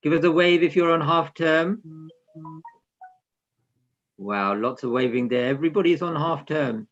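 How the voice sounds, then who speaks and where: A man's voice: on the high side, lively intonation, a little slow, a bit bright, soft background music. A man hosting an online children's session in an informal way, talking directly to attendees with instructions and questions over a video call. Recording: slightly dull lo-fi video call audio.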